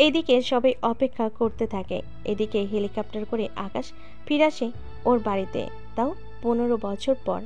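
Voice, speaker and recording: A woman's voice: a little high, moderate pace, darkish tone, highs cut off, faint music behind. A woman narrating a drama story in a plain, matter-of-fact way.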